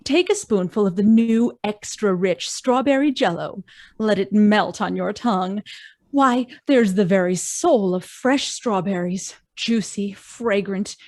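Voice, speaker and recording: A woman's voice: measured pace, darkish tone, no echo, clean studio sound, lightly acted. A woman reading an upbeat, persuasive old-time radio commercial over a video call. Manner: upbeat, persuasive sales pitch. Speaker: radio announcer. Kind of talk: vintage radio ad.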